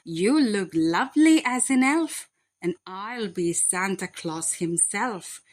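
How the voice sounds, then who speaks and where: Lady doing a big sneaky burglar guy from a kids story, hammy, bit of a coward, slow talking. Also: medium pitch, expressive. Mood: scheming. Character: Hefty Hugh, crafty big male burglar, cowardly when spooked.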